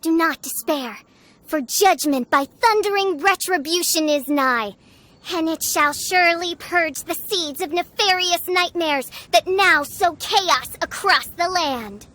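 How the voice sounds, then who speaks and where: A woman's voice: very high-pitched, measured pace, pushed and bright, exaggerated voice. A woman voicing a grandiose teenage girl who poses as a gothic princess in a video game, speaking pompously. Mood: regal, dramatic. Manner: pompous, theatrical, archaic wording. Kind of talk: video game character acting. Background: background music.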